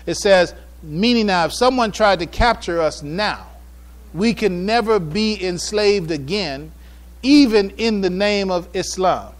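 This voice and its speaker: High-pitched American man, formal public oratory. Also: animated. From United States. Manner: formal, deliberate oratory with emphatic phrasing. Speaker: speaker giving a public address. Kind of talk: prepared religious and historical lecture read from notes.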